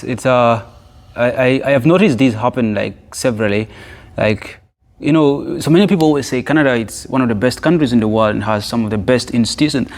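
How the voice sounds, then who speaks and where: A man's voice: medium pitch, darkish tone. A man from South Sudan hosting a podcast interview, speaking in a friendly, conversational way and often restarting words while he thinks. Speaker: podcast host.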